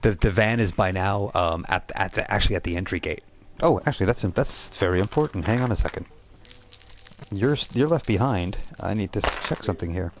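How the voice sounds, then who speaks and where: A man working as game master, improvising descriptive narration for a tabletop role-playing game in a halting way. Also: low voice, a little hiss or hum.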